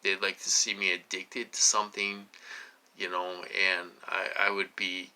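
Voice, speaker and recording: This is a man of about forty-eight, with a somewhat low voice that has a strikingly tense, forceful edge. He rambles like a solo vlogger, stopping and starting. He often repeats a question or phrase before moving on. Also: level tone, low-quality recording. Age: late 40s. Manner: repetitive, halting, dwelling on questions. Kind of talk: rambling personal monologue.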